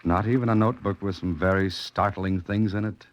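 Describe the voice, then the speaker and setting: A man's voice: fairly low pitch, steady tone, fairly quick, no room sound, lightly acted. An American man playing a tough, wry adventurer-sleuth in a 1940s radio suspense drama, with a clipped, insistent delivery. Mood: wry, pressing. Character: tough, wry male adventurer-sleuth, narrating and pressing for answers. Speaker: lead actor and narrator. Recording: noisy, muffled vintage 1940s radio recording.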